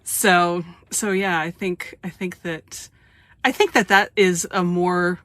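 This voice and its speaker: A woman's voice: on the low side, fairly even intonation, slow pace, a bit bright, dry recording. An American woman hosting a podcast interview in a casual, conversational style.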